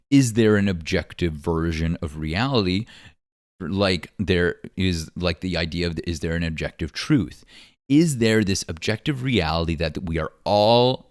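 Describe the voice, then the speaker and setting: A man with a deep baritone voice, hosting his own podcast and musing earnestly with many ums and likes. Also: dry recording, clean studio sound. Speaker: podcast host. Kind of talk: solo podcast monologue. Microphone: podcast microphone.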